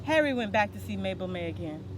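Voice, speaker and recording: A woman tells a story aloud with expressive, animated pitch. Her voice sits in a middle register. The recording is outdoors, with clear traffic and ambient noise behind her. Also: fast.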